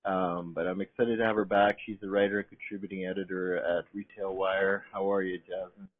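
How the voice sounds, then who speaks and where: Nasal deep-voiced man with a cold. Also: a little fast. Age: middle-aged. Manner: relaxed, rambling, frequent ums and restarts. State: has a cold. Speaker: podcast host. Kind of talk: casual interview chat. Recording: muffled phone-like line.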